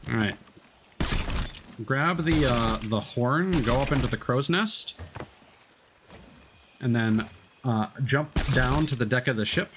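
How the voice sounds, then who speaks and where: A man's voice: medium pitch, lively intonation, slow, pauses often. A man streaming a game, explaining steps to a co-player with many fillers. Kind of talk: casual game chat. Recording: noisy stream audio.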